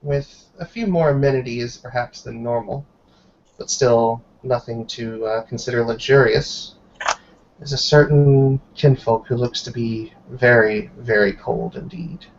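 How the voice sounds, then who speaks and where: A man narrating a tabletop roleplay scene in a measured, descriptive way. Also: medium pitch, fairly even intonation. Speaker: roleplay game player narrating. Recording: dull, noisy online call audio.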